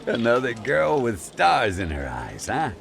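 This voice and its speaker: Gravelly, needling gambling-den lowlife. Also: male voice, huge pitch swings, measured pace, a bit bright, heavily acted. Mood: teasing. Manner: teasing, condescending. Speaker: voice actor in a video game. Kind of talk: dramatic game cutscene dialogue, English dub.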